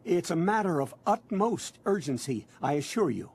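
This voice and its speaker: High-voiced, pushy, chirpy salesman. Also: big pitch swings, moderate pace, darkish tone, husky, full character voice. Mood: eager, ingratiating. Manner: ingratiating, wordy, insistent. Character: pushy, over-cheerful male door-to-door salesman. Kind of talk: theatrical video game voice acting. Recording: game audio, faint background noise.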